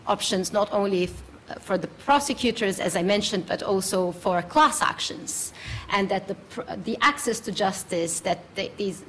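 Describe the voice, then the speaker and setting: A woman's voice: medium pitch, moderate pace, a little hiss or hum, rough recording. A woman panelist answering audience questions, hesitant, with many fillers.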